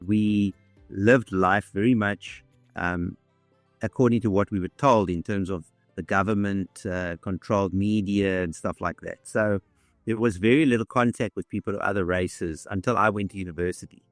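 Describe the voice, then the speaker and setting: A man in his sixties with a lowish voice tells his story in a measured, unhurried way. The sound is dry and close, with faint music under it. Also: fairly even intonation, no room sound. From South Africa. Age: 60s. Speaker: interviewee. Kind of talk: reflective storytelling.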